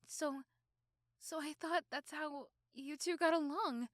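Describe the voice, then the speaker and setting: A woman voicing a possessive, protective teenage girlfriend in an ASMR roleplay, doting and intense, with dramatic pauses and repeated words. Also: high-pitched, dry recording, clean studio sound. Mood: guilty, hurt. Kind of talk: ASMR roleplay acting addressed to the listener.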